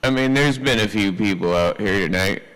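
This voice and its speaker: A man chatting casually as a radio operator, in a halting, unhurried way that tends to trail off. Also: on the low side, a bit bright, low-quality recording. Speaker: CB radio operator hosting a live stream.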